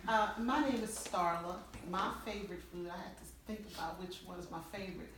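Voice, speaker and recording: A woman's voice: fairly low pitch, fairly quick. A woman speaking casually and hesitantly as an employee taking part in a group activity. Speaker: marketing manager in a team game. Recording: very echoey room, distant, noisy.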